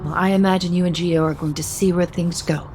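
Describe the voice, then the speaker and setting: A woman's voice: lowish voice, steady tone, a little fast, over music, close to an everyday voice. An actress playing a protective, meddling, middle-aged mother of a grown son in a naturalistic audio soap opera. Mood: concerned, insistent.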